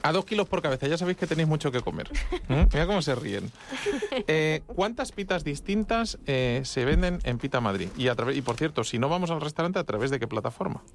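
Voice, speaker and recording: A man's voice: a little high, expressive, quick pace, gravelly, slight background noise. A Spanish man hosting a food radio show, chatting casually and joking, with frequent restarts. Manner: joking, rambling with many restarts. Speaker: radio host and food critic.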